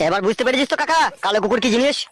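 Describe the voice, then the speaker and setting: A man's voice: very high voice, expressive, rapid-fire, background noise. A man voicing a crude, swaggering neighbourhood thug in a comic cartoon dub, speaking. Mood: menacing. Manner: bullying, crude slangy street talk.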